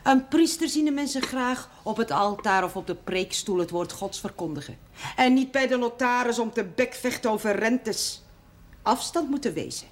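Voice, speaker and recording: An actress playing a nosy, scolding middle-aged housekeeper to a priest in a 1970s TV drama. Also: female voice, fairly high pitch, animated, moderate pace, natural voice. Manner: reproachful, gossipy. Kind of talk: 1970s television drama acting. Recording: noisy TV soundtrack.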